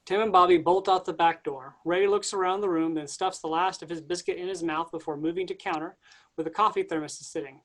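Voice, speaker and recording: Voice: mid-range voice, steady tone, a little fast. A reader plainly reading the stage directions of a play.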